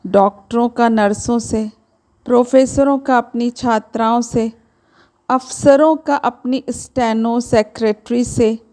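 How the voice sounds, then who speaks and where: A woman reading a short story aloud in expressive first-person narration, speaking to listeners in a conversational, direct way. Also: fairly high pitch.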